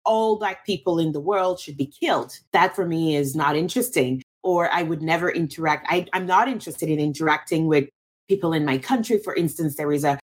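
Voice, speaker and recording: A woman's voice: deep voice, a little fast, husky. A woman from Cameroon, a guest in an interview, answering thoughtfully with frequent restarts and fillers.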